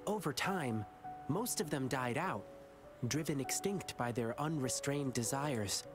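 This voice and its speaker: A man voicing an earnest, boyish young lion dancer in a video game, telling his story in measured, wistful dialogue. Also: medium pitch, expressive, natural voice. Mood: solemn. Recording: game music underneath.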